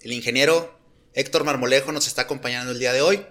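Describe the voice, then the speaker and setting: A man's voice: medium pitch, fast, a bit bright. A man hosting a casual podcast, slangy and laughing, with many fillers. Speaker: podcast host.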